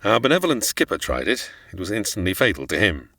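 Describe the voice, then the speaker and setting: A learned, self-important middle-aged scientist, as a male actor plays him in a sci-fi radio play. He lectures formally, with wide swings of pitch. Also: very animated, fast, gravelly, fairly bright tone, heavily acted. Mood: grave. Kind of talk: old sci-fi film parody radio play.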